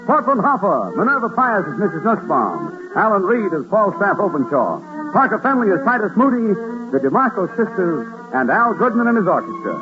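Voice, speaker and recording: Male 1940s show announcer, formal and very lively, narrow vintage broadcast sound. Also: high-pitched, a little fast. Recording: tinny 1940s radio recording. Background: loud music.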